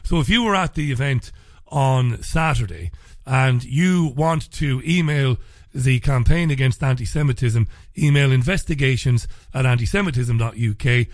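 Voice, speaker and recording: A man hosting a talk radio show, speaking casually with a slightly croaky, dry voice and frequent fillers and restarts. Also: medium pitch, moderate pace, no echo.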